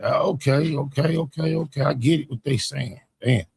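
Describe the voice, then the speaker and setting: A man's voice: medium pitch, normal speed, gravelly, a little mellow, slightly rough. A man giving casual, slangy, unfiltered reaction commentary as a host, with some swearing. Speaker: host reacting to a narrated video.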